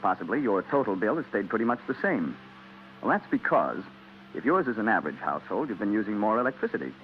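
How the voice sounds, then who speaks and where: American male 1940s radio announcer, conversational sponsor pitch, very animated intonation, old noisy broadcast sound. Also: fast talker, phone-quality sound. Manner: conversational, rhetorical questions to listeners.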